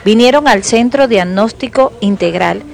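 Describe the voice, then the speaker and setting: A woman's voice: on the high side, normal speed, gravelly. A woman reading scripted narration as a television news reporter. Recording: faint background noise.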